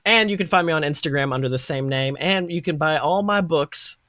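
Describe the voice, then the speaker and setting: A man's voice: a little high, a little fast, pushed and bright, no room sound, studio quality. A man hosting a podcast, telling improvised fantasy stories as game master and chatting. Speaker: podcast host. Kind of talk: improvised second-person fantasy storytelling and podcast chat.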